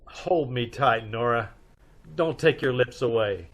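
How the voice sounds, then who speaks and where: A man's voice: mid-range voice, a little slow, natural voice. A man playing a dry, wisecracking, level-headed married detective in old-time radio style, wry and matter-of-fact. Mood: wry, affectionate.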